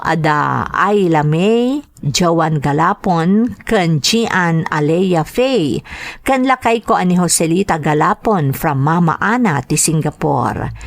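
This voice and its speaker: A Filipino-accented woman hosts on radio, reading greetings and letters aloud. Her voice is on the low side, with a close, dry studio sound. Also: dry recording. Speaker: radio host and letter reader.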